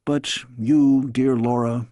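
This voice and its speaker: A man voicing a fatherly storybook king, grave yet tender, with measured, formal speech. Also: medium pitch, steady tone, warm tone, no echo, lightly acted.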